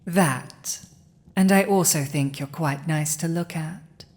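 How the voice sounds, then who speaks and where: A woman playing a villainous dragon ruler in an intimate audio roleplay, speaking in a deliberate, dominant, teasing manner. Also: low voice, a little mellow. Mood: tender, possessive.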